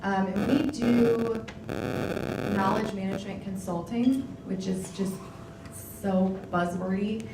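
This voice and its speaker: A young woman introducing herself on a conference panel in a friendly, chatty way with many fillers. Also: lowish voice. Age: young adult. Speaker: panelist, content manager. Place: conference room before a live audience. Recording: echoey room, distant mic, noisy.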